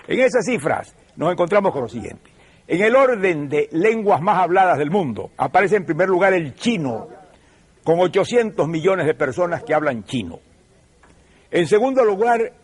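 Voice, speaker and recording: An older Venezuelan man speaks in a measured, professorial way, leaning into key points with emphasis. His voice sits a little higher than most men's. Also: slightly rough. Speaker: TV show host. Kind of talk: televised lecture.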